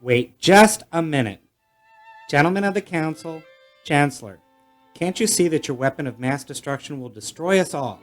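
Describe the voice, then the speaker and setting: Male actor as boyish space hero, wholesome gee-whiz manner, very lively intonation, retro radio serial, music underneath. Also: a little high, normal speed, lightly acted. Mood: earnest pleading. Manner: earnest, corny, declamatory.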